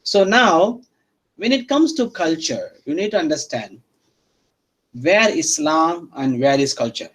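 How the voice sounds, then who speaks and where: Higher-pitched male lecturer, repetitive halting delivery. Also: expressive, fairly bright tone. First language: not Arabic or English. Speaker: university lecturer. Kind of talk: interactive online-class lecture, questioning students.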